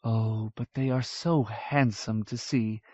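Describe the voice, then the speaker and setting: A man voicing a warm, teasing young mother from the grasslands, who speaks in a soft, low way. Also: fairly even intonation, slow, husky, no echo. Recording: dull lo-fi audiobook recording.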